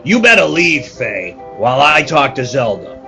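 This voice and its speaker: A man's voice: medium pitch, very animated, normal speed, vocal fry, sharp tone, faint music behind, heavily acted. A man playing a bossy, exasperated circus manager in a 1940s-style radio play, commanding and emphatic. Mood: stern, placating.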